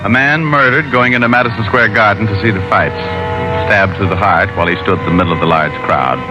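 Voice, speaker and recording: An actor playing a brooding, world-weary American private detective in a hardboiled old radio drama. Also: male voice, fairly low pitch, quick pace, close to an everyday voice. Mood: grim, weary. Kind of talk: hardboiled 1940s-50s radio drama narration and dialogue. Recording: muffled vintage radio with music bed.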